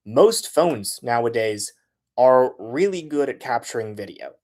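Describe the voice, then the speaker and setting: A man's voice: medium pitch, animated, measured pace, a little mellow. A man giving a casual how-to talk about making transit videos, speaking loosely with many filler sounds.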